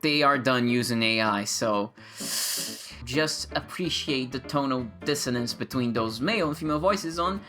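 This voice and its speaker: A woman's voice: rumbling low, moderate pace, a bit bright, soft background music. A woman giving casual, sarcastic commentary on game mods, with playful mock-dramatic rants. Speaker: gaming video creator.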